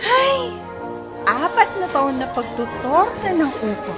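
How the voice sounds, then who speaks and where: A woman's voice: high-pitched, very animated, slow, loud music behind the voice, exaggerated voice. A woman playing a sweetly pouty, emotional young girlfriend in a Filipino radio romance drama, coy and teasing. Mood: playful, coy. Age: young adult.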